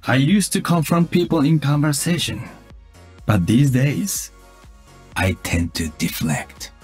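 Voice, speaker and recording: An actor playing a calm, wry male cyborg ninja in a video game, with measured, deadpan delivery. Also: mid-range voice, slightly rough, soft background music. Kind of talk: video game hero voice lines.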